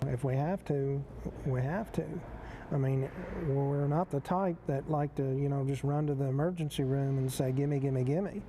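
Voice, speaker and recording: A man's voice: mid-range voice, normal speed, dark tone, slight background noise. A man giving off-the-cuff remarks in a news interview.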